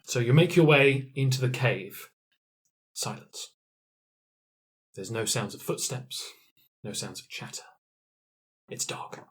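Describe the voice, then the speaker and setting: A game master narrating an improvised tabletop adventure in a hushed, atmospheric way. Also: mid-range voice, expressive, takes it slowly, long pauses.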